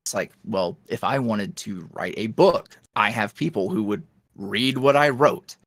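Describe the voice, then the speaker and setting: A man guest on a podcast, an ASMR roleplay creator, talking candidly in a rambling, filler-heavy way that swings from lively bursts to low mutters. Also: measured pace, no room sound, studio quality. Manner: swings between animated highs and low mutters. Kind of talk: casual interview chat.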